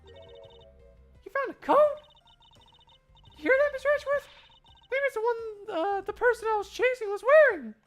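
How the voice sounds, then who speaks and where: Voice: extremely high pitch, lively intonation, a little slow, long pauses, a bit bright, voice pushed up, exaggerated voice. A performer voicing a scornful, combative accuser in a game fandub, mocking and insistent. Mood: excited, accusing. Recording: music behind the voice.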